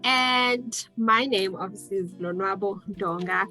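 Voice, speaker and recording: A 20-year-old university student, speaking earnestly as an interview guest. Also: female voice, medium pitch, unhurried, pushed and bright, soft background music, rough recording.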